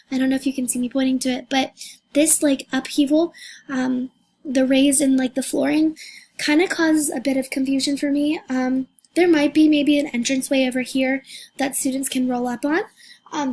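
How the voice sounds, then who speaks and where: A hoarse young woman giving a recorded class presentation, talking hesitantly with many fillers and restarts. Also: sits high, fairly even intonation, moderate pace, a little mellow. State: hoarse, losing her voice. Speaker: student presenter.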